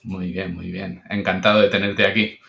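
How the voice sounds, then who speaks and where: A man hosting a podcast interview, welcoming his guest and asking measured questions. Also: sits low, little pitch movement, vocal fry, bright, forceful tone. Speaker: podcast host. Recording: roomy echo.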